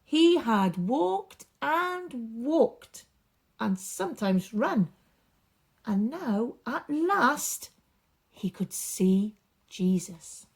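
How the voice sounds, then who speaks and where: A woman reading a storybook aloud for young children, with dramatic emphasis and drawn-out repeated words. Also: on the high side, sing-song, creaky, breathy edge.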